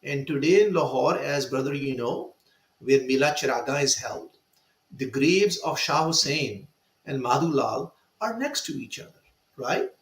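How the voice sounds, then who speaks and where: A man's voice: mid-range voice, expressive, unhurried, a bit bright, reverberant, low-quality recording. A man telling a personal story in a panel discussion, emphatic and fond of rhetorical asides. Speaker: guest speaker.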